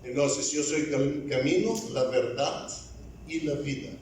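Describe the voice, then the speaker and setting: A man preaching a sermon as an evangelist in a church, speaking in a deliberate, halting way in a language he is still learning. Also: a little high, a little mellow, slight background noise. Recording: very echoey church, voice from far off.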